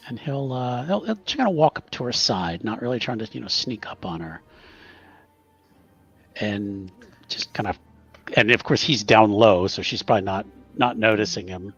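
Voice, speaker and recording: A man's voice: fairly low pitch, animated, normal speed, a bit bright, breathy edge, dull audio, faint music behind. A man casually narrating his character's actions as a player in a tabletop game.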